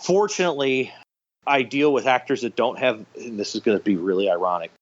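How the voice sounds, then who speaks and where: A man's voice: mid-range voice, fairly quick, highs cut off. A man speaking as an interview guest, explaining things conversationally with illustrative anecdotes. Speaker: podcast guest, radio theatre director.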